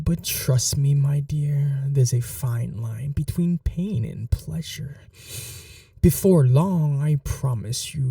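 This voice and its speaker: Man acting very slow, dark-voiced possessive vampire. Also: medium pitch, gravelly, dry recording, far from the mic, heavily acted. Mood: gloating, sensual. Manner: slow, hushed, dominant, formal endearments, often whispering. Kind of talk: intimate ASMR roleplay, scripted monologue.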